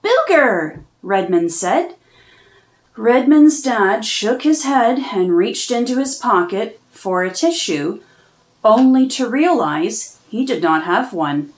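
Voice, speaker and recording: A woman reading a children's picture book aloud in a slow, expressive storytelling manner. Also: fairly high pitch.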